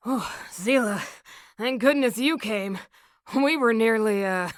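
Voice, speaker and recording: A woman's voice: fairly high pitch, takes it slowly, dry recording. A woman voicing a defiant, plain-spoken female miner in a video game, earnest in manner. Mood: relieved, weary. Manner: scolding, earnest. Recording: game music behind the voice. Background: background music.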